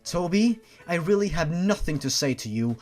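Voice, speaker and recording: A man's voice: on the high side, lively intonation, moderate pace, a little mellow, faint music behind, lightly acted. A man voicing an emotional, hot-tempered teenage girl from a story, in a melodramatic, indignant manner. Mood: hurt, angry. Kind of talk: mock-dramatic storybook reading.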